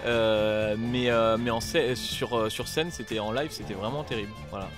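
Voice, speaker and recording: A man's voice: medium pitch, steady tone, moderate pace. A man from a band chatting loosely in a festival interview, rambling with many fillers and casual slang. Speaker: band member. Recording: music playing behind.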